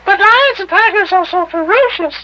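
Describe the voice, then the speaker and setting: A man's voice: very high voice, lively intonation, sharp tone, voice pushed up, full character voice. A man voicing a genteel, fluttery, romantic widow who gushes primly in old-time radio comedy. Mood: prim shock. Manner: fluttery, gushing, drawn-out. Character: gushing, genteel, prim, romantic widow lady. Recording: muffled, hissy vintage radio recording.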